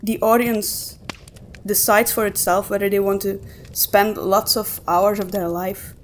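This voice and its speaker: A woman co-hosting a podcast in a casual book discussion, thinking aloud in a halting way as she gives her opinions. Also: mid-range voice, little pitch movement, a little hiss or hum. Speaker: podcast co-host.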